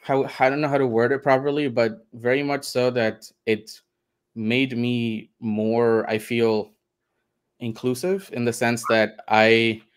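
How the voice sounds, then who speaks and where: A man from India, a guest on a video call interview from home, speaking in a relaxed, thoughtful way with lots of hedges. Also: mid-range voice, a little slow, clear, resonant tone. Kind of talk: casual interview.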